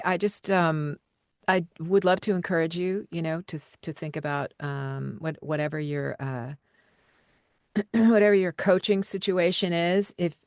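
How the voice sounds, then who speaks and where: A woman voiceover coach answering questions live over a video call, relaxed and conversational, with frequent fillers and light laughs. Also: on the low side, smooth, no room sound, clean studio sound.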